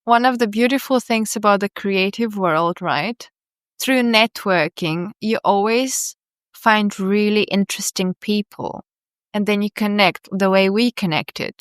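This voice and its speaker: A woman's voice: mid-range voice, unhurried, clean tone, no room sound, clean studio sound. A woman hosting a podcast interview, talking reflectively and often checking in with tag questions. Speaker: podcast host. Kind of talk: conversational interview.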